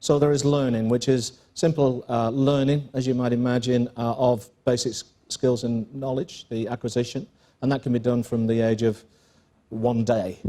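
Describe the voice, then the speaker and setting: Dry-humoured English man, mellow voice. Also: medium pitch, level tone. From England. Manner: measured, explanatory, dry jokes. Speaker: school principal. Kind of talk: panel interview on stage. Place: conference stage.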